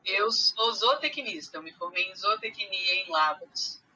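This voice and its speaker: A woman from Rio de Janeiro with a mixed Brazilian accent, carioca with traces of Minas, chatting as a podcast guest with many pauses and fillers. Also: mid-range voice, lively intonation, sharp tone, slightly rough, poor audio. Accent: mixed Brazilian Portuguese, carioca with Minas traces. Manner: hesitant, many fillers and restarts. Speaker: guest, animal science teacher. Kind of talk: casual podcast conversation.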